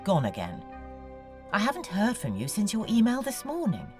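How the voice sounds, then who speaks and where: A woman's voice: mid-range voice, wildly swooping pitch, moderate pace, faint music behind. An actress playing an anxious, needy, brooding woman novelist in an audio drama, articulate and confiding as she voices her letters. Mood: reproachful, eager.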